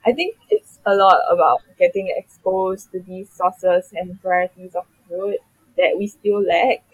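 A woman's voice: fairly low pitch, a little slow, husky, no echo, rough recording. A young Singaporean woman speaking as a guest in a casual panel chat, rambling with many fillers. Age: young adult.

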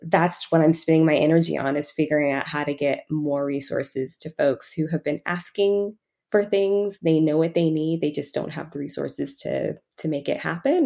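A woman's voice: deep voice, vocal fry, a little mellow. A woman speaking conversationally as an interview guest. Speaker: podcast guest, financial coach in philanthropy.

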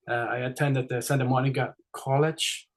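A man's voice: mid-range voice, fairly even intonation, moderate pace. A middle-aged man from Switzerland with a Swiss accent, chatting casually as a podcast guest, friendly, with lots of fillers and restarts. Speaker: race director guest. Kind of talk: casual interview chat.

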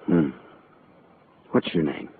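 An actor playing a wry, hard-boiled private detective in old-time radio noir, with clipped, slangy wisecracks. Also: male voice, takes it slowly, natural voice. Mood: probing. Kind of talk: old-time radio noir acting and first-person narration. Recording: muffled, noisy old-time radio recording.

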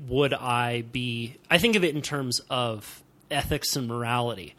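A man hosting a podcast, musing aloud and gently teasing his guest in a relaxed, conversational way. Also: medium pitch, unhurried. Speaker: podcast host. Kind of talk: casual conversational interview.

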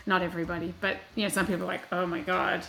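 A woman from New Zealand with a clear New Zealand accent talks through her background in a chatty, self-deprecating way, full of small fillers. Her voice is bright and forceful, and it sounds roomy, as if recorded in an echoey space. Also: lowish voice, level tone, racing, a little hiss or hum. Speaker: guest. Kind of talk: casual interview talk.

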